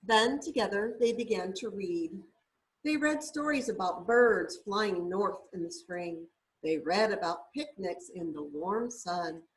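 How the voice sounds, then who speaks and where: A woman reading a storybook aloud to young children in a deliberate, teacherly way, sounding words out carefully. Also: mid-range voice, lively intonation, poor audio. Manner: deliberate, spells words out letter by letter.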